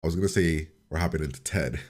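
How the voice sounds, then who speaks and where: A man with a very deep, low voice, a little nasal from a cold he has. He rambles along easily in a casual reaction video, full of little fillers and restarts. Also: quick pace, a little mellow. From upstate New York. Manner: rambling, easygoing, with filler words. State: sick with a cold, slightly nasal. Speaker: reaction channel host.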